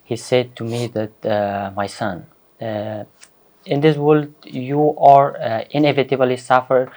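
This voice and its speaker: A young man from Afghanistan, a student guest in a studio, answering in a halting, earnest way with many pauses. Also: medium pitch, steady tone, darkish tone. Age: young adult. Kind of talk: hesitant interview answers.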